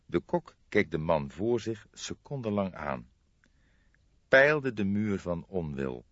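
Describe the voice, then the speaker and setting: A man's voice: deep voice, big pitch swings, slow, a bit bright. A man reading a detective novel as scripted audiobook narration. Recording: dull, roomy sound.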